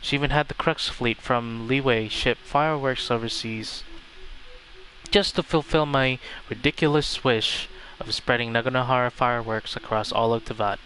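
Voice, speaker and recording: A man's voice: medium pitch, fairly even intonation, moderate pace, sharp tone, slight background noise, poor audio. A man livestreaming a video game playthrough, reacting casually and reading the dialogue aloud. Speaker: game streamer. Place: voice over video game audio.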